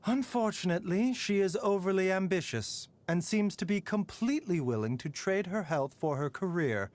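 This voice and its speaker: A man playing a gentle, courteous pharmacist doctor in a game, speaking in a soft, measured and politely wry manner. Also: high voice. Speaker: game character voice lines. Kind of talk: scripted game voice lines.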